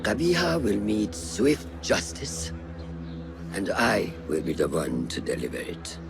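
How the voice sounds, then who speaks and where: A man's voice: sits low, huge pitch swings, a little slow, creaky, over music, exaggerated voice. A man playing a stern, seasoned master of assassins in a video game, authoritative and admonishing. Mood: firm. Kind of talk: dramatic video game acting.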